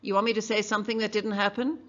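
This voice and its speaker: A woman's voice: little pitch movement, quick pace, fairly bright tone, close to an everyday voice. A woman voicing a frightened, defiant young witness, stammering and defensive. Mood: agitated. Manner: shrill, stammering, defensive outbursts. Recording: dull lo-fi recording.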